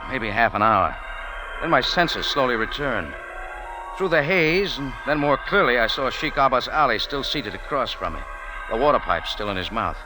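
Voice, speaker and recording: A man's voice: medium pitch, natural voice. A man playing a wry, tough adventurer with an American accent, narrating his story in dramatic old radio style. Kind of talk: 1940s-50s hardboiled radio drama narration. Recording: vintage radio, music behind.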